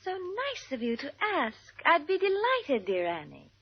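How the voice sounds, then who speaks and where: An actress playing a scheming, penniless young beauty who acts sweet and wistful, in 1940s radio style. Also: female voice, high-pitched, wildly swooping pitch, a little slow, full character voice. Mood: coyly delighted. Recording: dull vintage 1940s radio recording.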